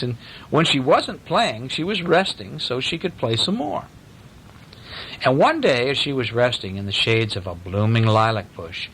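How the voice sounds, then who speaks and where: A man reading a storybook aloud to children in a playful, animated way, with asides to his young listeners. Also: mid-range voice, a little hiss or hum, poor audio. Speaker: parent reading a bedtime story.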